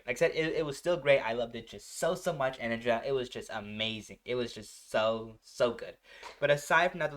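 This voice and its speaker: A man's voice: medium pitch, fairly bright tone. A man giving casual, gushing reaction commentary as a video host, rambling and often repeating himself. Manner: gushing, repetitive, rambling enthusiasm.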